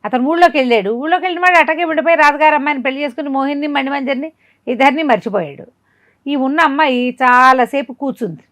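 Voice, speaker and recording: Higher-voiced woman telling a folk tale. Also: fast, no echo, studio quality. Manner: animated retelling, acting out quoted dialogue. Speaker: storyteller. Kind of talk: conversational oral storytelling of a folk tale to a listener.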